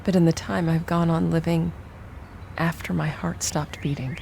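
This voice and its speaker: A woman playing a bereaved mother, emotionally hollow and bitter, giving a somber, measured dramatic monologue. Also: deep voice, fairly even intonation, gravelly, darkish tone, a little hiss or hum. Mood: hollow grief. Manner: measured, somber, poetic delivery. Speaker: audio drama actor.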